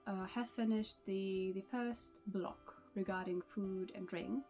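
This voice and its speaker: A young woman, German by first language, chats in English to her camera in a loose, rambling way, often restarting her sentences and laughing softly. Her pitch sits in the middle of the range. Gentle music plays faintly underneath. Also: fairly even intonation, takes it slowly. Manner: chatty, with frequent restarts and small laughs. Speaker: language-learning vlogger. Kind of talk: casual vlog talk to camera.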